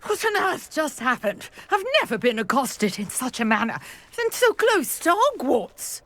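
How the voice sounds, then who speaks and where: An actress playing a brisk, well-spoken witch with an indignant streak in a video game. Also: high-pitched, big pitch swings, a bit bright. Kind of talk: video game character acting.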